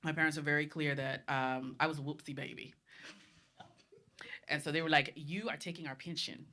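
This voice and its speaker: A woman's voice: low voice, steady tone, normal speed, sharp tone. A woman in her thirties from the Bahamas, a panelist speaking bluntly and confidently in a live discussion.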